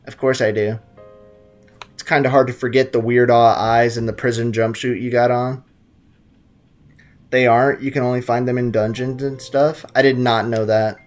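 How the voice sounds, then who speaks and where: A man's voice: medium pitch, flat delivery, normal speed. A young man casually joking and rambling through a video game playthrough. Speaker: gaming YouTuber. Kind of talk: casual let's-play commentary. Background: game music.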